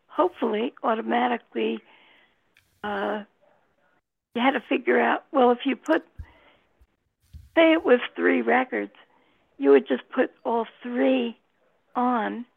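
Lady w super creaky husky voice, talks slow and casual, thin phone sound. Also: a little high, no room sound, phone-quality sound. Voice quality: gravelly, husky. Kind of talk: casual chat.

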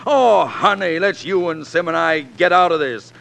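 A man's voice: a little high, moderate pace, vocal fry, fairly bright tone. An actor playing a restless, grandiloquent frontier newspaperman and husband in the florid manner of 1940s radio drama. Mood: excited, urgent. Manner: theatrical, coaxing, florid. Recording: old 1940s radio recording.

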